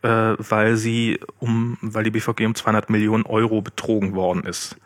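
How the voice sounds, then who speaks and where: Halting man in casual discussion, dry sound. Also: fairly low pitch, little pitch movement, dry recording. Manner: halting, searching for words with many restarts. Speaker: podcast co-host.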